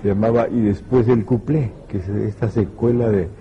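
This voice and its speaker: A man's voice: lowish voice, fairly even intonation, moderate pace, muffled recording, a little hiss or hum. Older Limeño man telling a story. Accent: Lima Spanish. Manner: storytelling, with "este" fillers. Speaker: actor interviewed. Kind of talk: interview anecdote.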